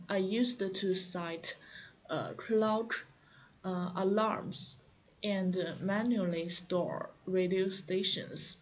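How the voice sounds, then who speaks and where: Female product demonstrator, unhurried and hesitant, repeating herself as she explains, over audible background noise. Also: medium pitch. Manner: halting, hesitant delivery with frequent repeats and fillers.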